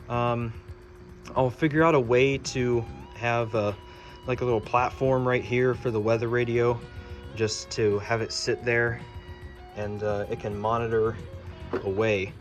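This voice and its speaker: A man giving an informal hands-on walkthrough, talking casually as he works. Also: mid-range voice. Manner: informal, talking while working with his hands. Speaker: host of a hobby fire alarm channel. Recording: music playing behind the voice.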